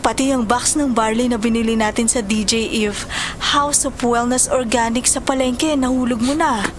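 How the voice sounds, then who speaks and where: Woman acting a high-voiced, patient, caring stepmother. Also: steady tone, moderate pace, background noise, lightly acted. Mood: soothing. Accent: Filipino. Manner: gentle, soothing. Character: patient, caring, conciliatory stepmother and doting partner. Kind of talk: audio drama acting.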